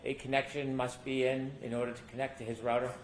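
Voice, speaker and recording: A man with a mid-pitched voice questions a witness in formal, polite legal language. The room is clearly echoey, with faint noise behind him. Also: little pitch movement, quick pace. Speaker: prosecuting lawyer examining a witness. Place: courtroom.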